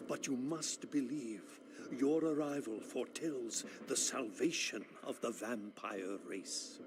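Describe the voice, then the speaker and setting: A man voicing an ancient vampire elder in a video game, a solemn prophet who speaks gravely and urgently in a gravelly voice. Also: mid-range voice, noisy background, lightly acted. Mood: urgent pleading. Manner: slow, urgent, solemn, archaic phrasing. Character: ancient male vampire elder, awakened, earnest pleading prophet. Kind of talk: dramatic video game voice acting.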